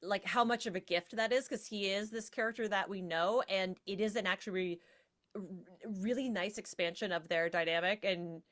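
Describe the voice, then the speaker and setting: A woman's voice: medium pitch, moderate pace. Emphatic, informal woman on a panel. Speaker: podcast panel guest. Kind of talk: casual, animated panel chat.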